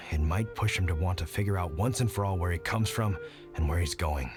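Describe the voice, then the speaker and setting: A man's voice: low-pitched, a little fast, loud music behind the voice, lightly acted. A man voicing a kindly middle-aged hunter dad in a video game, folksy, reflective and supportive. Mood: concerned, reflective.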